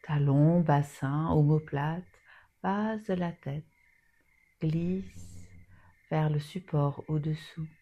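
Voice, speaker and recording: A woman leading a guided yoga nidra meditation in a soothing, soft manner with long pauses. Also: deep voice, fairly even intonation.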